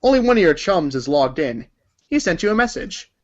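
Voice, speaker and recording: A man with a fairly high voice narrates a scripted story in the second person. His delivery is theatrical and emphatic, like a read-along book, and the recording is slightly dull. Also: sing-song, a little fast, a little hiss or hum.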